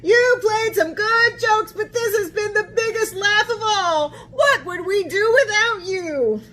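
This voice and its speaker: A woman voicing a jolly, fond old elephant in a children's storybook, exclaiming loudly as if through laughter. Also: extremely high pitch, a little slow, pitched above the performer's own voice, heavily acted. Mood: fond, amused.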